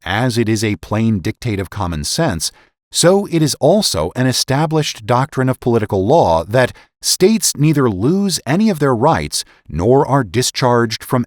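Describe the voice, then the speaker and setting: Expressive man reading formally, very dry sound. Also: lowish voice, no echo. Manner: formal, deliberate, emphatic oratorical delivery. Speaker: podcast host and narrator. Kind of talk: dramatic reading of historical political essays.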